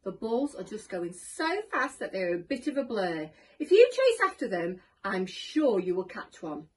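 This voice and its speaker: A woman's voice: medium pitch, very animated, measured pace, slight background noise. A woman voicing a cheeky schoolgirl in a children's story, mock-innocent and full of feigned sympathy. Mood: gleefully teasing.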